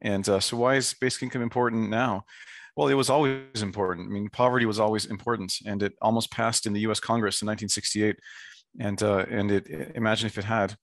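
A man speaking as a guest advocate on a video call, informal and earnest, with halting phrasing. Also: on the low side, steady tone, dry recording.

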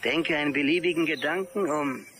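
An actor playing a smug, malicious advertising program that congratulates its victims with mocking glee in an audio drama. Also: male voice, on the high side, brisk, pushed and bright, slight background noise. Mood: gleeful mockery. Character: smugly cheerful malicious advertising plug-in voice.

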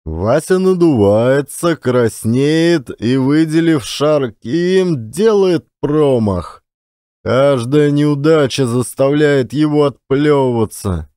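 A man reads literary prose as an expressive storyteller. His voice sits at a medium pitch and moves widely up and down. The sound is dry, with no room echo. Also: takes it slowly, clear, resonant tone. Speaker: audiobook narrator.

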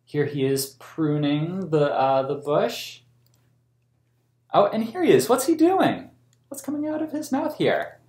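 An American man in his thirties reading a picture book aloud to children in a gentle, explanatory way. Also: medium pitch. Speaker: museum story time host. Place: small plain room indoors. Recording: roomy indoor echo.

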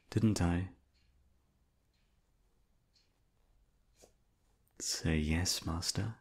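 A man playing a seductive, teasing, dominant hypnotist coworker in an ASMR roleplay, with a deep, breathy, hushed voice. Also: heavily acted. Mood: teasing, smug.

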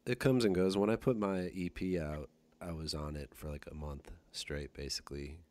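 A man hosting a podcast, chatting casually with relaxed, measured replies. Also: rumbling low. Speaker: podcast host, musician. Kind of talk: casual conversation.

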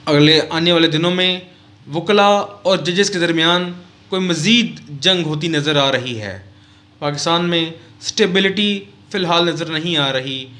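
A middle-aged man from Pakistan giving a formal current-affairs commentary vlog at a desk mic in a small studio, pausing often with "uh". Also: high-pitched, sharp tone. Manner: measured, formal, with frequent "uh" pauses. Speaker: vlogger and news commentator. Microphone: desk mic on a stand close to the mouth.

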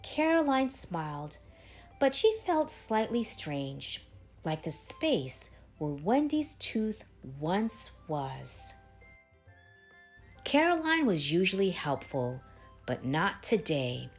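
A woman reading a children's story aloud in a deliberate, expressive way with dramatic pauses. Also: lowish voice. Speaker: storybook reader, channel host. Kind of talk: children's read-aloud, scripted narration. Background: background music.

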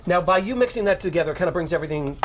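A man's voice: sits high, animated, very fast, a little hiss or hum. A man hosting a daytime TV cooking segment, chatty and upbeat, on a studio kitchen set. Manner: chatty, upbeat, eager asides. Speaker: TV show host. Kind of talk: casual studio chat.

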